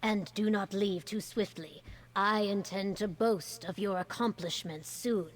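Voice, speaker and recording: Woman playing a slow, stately governor. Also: mid-range voice, close to an everyday voice, low-quality recording. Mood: gracious, composed. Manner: formal, stately, measured. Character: dignified female governor, gracious and commanding. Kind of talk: video game character dialogue.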